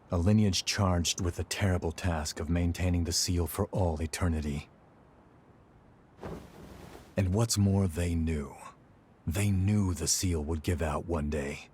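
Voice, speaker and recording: A low, grave male voice for a battle-worn video game warrior. He is brooding and regretful, speaking in terse, measured lines. A faint rumbling noise sits behind him. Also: a little mellow. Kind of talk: dramatic video game acting.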